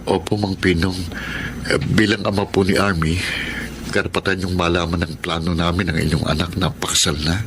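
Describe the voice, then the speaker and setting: A man's voice: low-pitched, level tone, a little fast, background noise. A man playing a patient, respectful young suitor in a Filipino radio drama, speaking politely and hesitantly. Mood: respectful, hopeful. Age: young adult.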